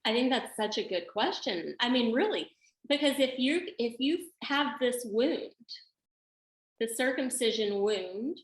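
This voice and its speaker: A middle-aged woman psychologist answering interview questions in a measured, thoughtful way. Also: on the high side, lively intonation. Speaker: psychologist guest. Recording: echoey room sound.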